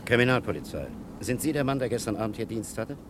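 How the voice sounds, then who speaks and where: A man acting a calm, probing police captain in a German crime radio play, speaking in a formal, measured way. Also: medium pitch, close to an everyday voice. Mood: brisk, official. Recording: noisy vintage radio play recording.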